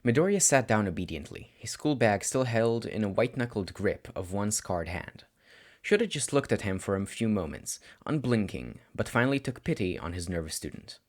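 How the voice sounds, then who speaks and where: Low-voiced man narrating with lively pitch. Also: moderate pace. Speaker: amateur audiobook reader of fan fiction. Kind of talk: expressive scripted narration.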